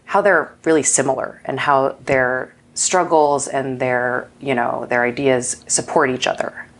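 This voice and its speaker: A woman's voice: very deep voice, steady tone, measured pace. A woman speaking casually as an interview guest, informal and peppered with "like" and "you know". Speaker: film festival director, interview guest.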